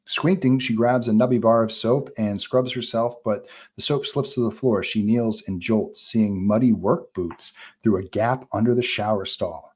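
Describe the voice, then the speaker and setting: A man narrating stage directions from a film script at a live table read. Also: lowish voice.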